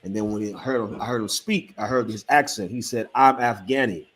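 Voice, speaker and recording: A man on an online panel discussion, telling a story with acted-out dialogue and building to forceful emphasis. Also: fairly low pitch, fairly quick, breathy edge. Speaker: panel member.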